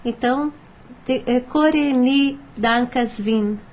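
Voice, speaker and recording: A woman's voice: on the high side, slow pace, darkish tone. A Brazilian woman talking conversationally as a guest on a radio panel.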